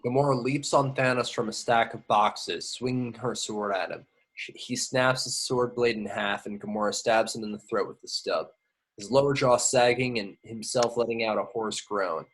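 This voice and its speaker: A man's voice: mid-range voice, steady tone, normal speed, fairly bright tone, husky, low-quality recording. A man reading scripted action descriptions as narrator at an amateur table read. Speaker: reader of stage directions.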